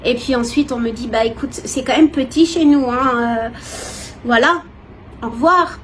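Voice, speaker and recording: A woman from France, giving a personal, expressive and hesitant testimony to viewers in casual talk. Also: high-pitched, normal speed. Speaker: vlogger. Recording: clear background noise, ambient sound.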